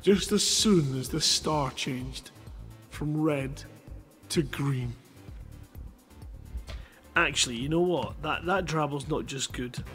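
A man's voice: on the high side, takes it slowly, pauses often, a bit bright, soft background music. A playful man hosting a live stream, reading short stories aloud and bantering casually. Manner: playful, jokey. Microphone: headset microphone.

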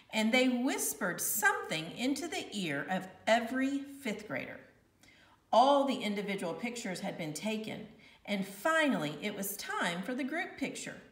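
Lady reading a kids book out loud, expressive, bright voice, bit far from the mic. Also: on the high side. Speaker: narrator reading a children's novel aloud. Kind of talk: read-aloud storytelling. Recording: roomy, slightly distant home recording.

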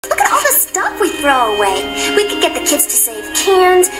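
A woman's voice: sits high, wildly swooping pitch, moderate pace. A woman voicing a confident, caring young squirrel princess in a cartoon, assured and earnest. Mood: encouraging, earnest. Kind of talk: animated cartoon acting. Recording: music under the voice.

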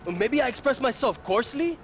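An actor playing a bitter, envious young street dealer in a video game cutscene, sarcastic and profane with streetwise slang. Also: male voice, very high voice, expressive, brisk, a bit bright, slight background noise, full character voice. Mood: pleading, persuasive.

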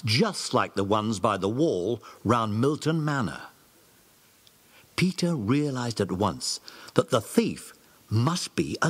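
Husky man narrating a children's story. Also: medium pitch. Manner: clear, storytelling.

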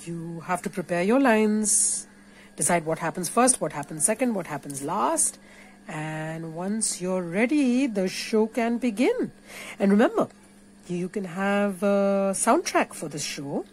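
Unhurried Indian woman teaching crafts. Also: mid-range voice, lively intonation, darkish tone, a little hiss or hum. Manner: playful, encouraging, instructive. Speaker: puppeteer teaching a craft workshop. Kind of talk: informal step-by-step craft tutorial. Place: indoors at a craft table.

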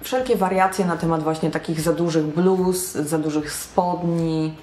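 Young woman vlogger, lowish voice, chatty and wry, roomy echo. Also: unhurried, warm tone, slight background noise. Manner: chatty, self-mocking, with English slang. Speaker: alternative fashion vlogger. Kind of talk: casual talk to camera. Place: small bright room indoors.

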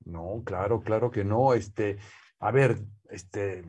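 Mexican man, interview guest, slow unhurried delivery, warm mellow tone, frequent hesitations. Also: on the low side, husky. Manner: slow, many "este" fillers and restarts. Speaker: guest, poet's nephew and scholar. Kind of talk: relaxed conversational interview. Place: living room with bookshelves, seated.

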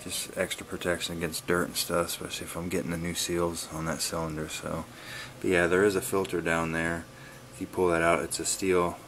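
A man giving a casual, laid-back vlog update in a halting, plain-spoken way, recorded on a handheld camera in a garage. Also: sits low, level tone, a bit bright, a little hiss or hum. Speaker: wood-splitting video blogger. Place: garage, open to outdoors. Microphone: handheld camera's built-in mic.

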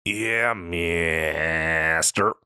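A man playing a scruffy, insecure slacker catboy pet in a comedic audio roleplay, with a halting, mumbly dude drawl. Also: low-pitched, pushed and bright, smooth, dry recording, clean studio sound, heavily acted. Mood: laid-back, teasing.

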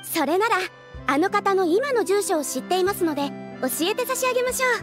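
A composed young receptionist speaks in a very high, polite voice. Her formal, helpful lines are anime game acting with music behind them. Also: animated, brisk. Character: courteous, composed young female guild receptionist in maid dress.

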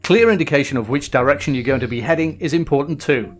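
A middle-aged man hosting a diving lesson, giving calm, scripted voice-over instructions and acting out signals as quoted lines. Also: on the high side, quick pace, faint music behind. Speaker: host.

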